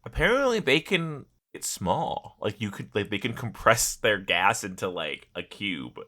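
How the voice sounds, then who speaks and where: A man with a lowish, bright voice chats casually as a podcast co-host. His pitch moves around freely and animatedly. He speaks in slangy, halting bursts, slipping into quotes as he retells a story. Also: big pitch swings. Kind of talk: casual chat about a game audio drama.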